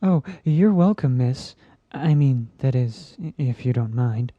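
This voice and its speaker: A woman's voice: rumbling low, expressive, a little slow, dry recording, full character voice. A woman voicing a shy young male pegasus pony in a cartoon-style story, hesitant, stammering and apologetic, in a dull dry recording. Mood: bashful.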